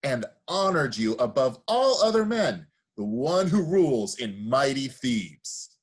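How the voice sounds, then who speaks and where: Husky, swooping chorus elder played by a man. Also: medium pitch, big pitch swings, slow, exaggerated voice. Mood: reverent. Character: awed, grieving elder of the tragic chorus. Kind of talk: solemn theatrical verse reading.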